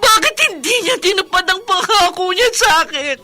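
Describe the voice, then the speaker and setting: An actress playing a grieving middle-aged widowed mother in a radio drama, crying through an anguished, drawn-out delivery. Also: very high voice, animated, pushed and bright, exaggerated voice. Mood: tearful longing. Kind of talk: melodramatic Tagalog radio drama acting. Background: music.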